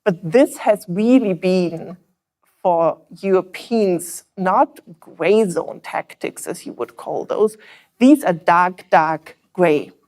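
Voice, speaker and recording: A woman with a German accent speaks on a policy panel in a fairly low voice. She lays out her points in an earnest, analytical way. She stops now and then on fillers and restarts. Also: lively intonation, slow pace, a little mellow. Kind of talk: panel discussion remarks.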